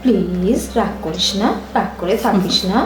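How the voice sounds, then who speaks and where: A woman playing an affectionate, scatterbrained younger sister in an audio drama, coaxing and expressive. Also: mid-range voice, moderate pace, slight background noise, lightly acted. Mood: coaxing, concerned. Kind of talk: Bengali audio drama acting. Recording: echoey room, music bed.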